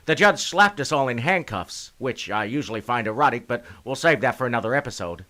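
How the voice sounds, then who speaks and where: A man's voice: mid-range voice, animated, brisk, noisy background. A man narrating and playing a lawyer in a comic radio serial, with a florid, mock-grand and bawdy delivery.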